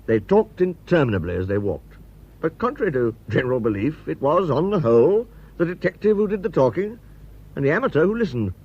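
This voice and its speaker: Husky, wry British storyteller. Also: male voice, on the high side, sing-song, a little mellow, highs cut off, exaggerated voice. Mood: wry, measured. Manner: measured, wry, ornate. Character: genial, literary male storyteller, fond of his friend. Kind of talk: scripted first-person story narration.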